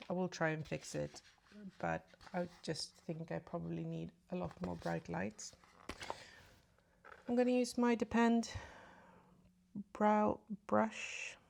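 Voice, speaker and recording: A woman chatting casually through a makeup tutorial, speaking softly and often half-whispering, with a slight cold and a sore throat. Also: fairly low pitch, creaky. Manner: soft, often half-whispered asides while applying makeup. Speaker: beauty vlogger. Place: at home in front of a mirror.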